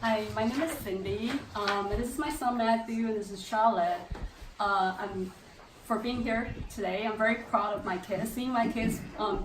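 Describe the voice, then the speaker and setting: A woman, a parent, giving an informal testimonial speech to an audience. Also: fairly high pitch. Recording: echoey room, distant mic, faint noise.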